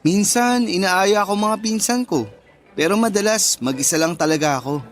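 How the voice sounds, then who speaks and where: A man's voice: high-pitched, moderate pace, soft background music, natural voice. An actor playing a shy, modest man of twenty-one in a radio drama, casual and bashful. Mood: shy, amused. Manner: bashful, self-deprecating, casual. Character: shy, modest introverted young male office worker, twenty-one. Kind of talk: Tagalog radio drama dialogue.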